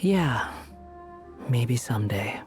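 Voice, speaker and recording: An aloof young male loner from a video game, wry and dismissive. His voice sits in the middle of the range, with quiet music underneath. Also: medium pitch, animated, slow pace. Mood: wistful.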